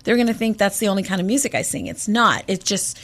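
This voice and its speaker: A woman's voice: mid-range voice, fast talker. A woman who grew up in Egypt, a jazz singer telling stories in a casual radio interview, acting out quotes and restarting her words. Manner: animated storyteller, acts out quotes, repeats words when starting. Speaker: jazz vocalist guest.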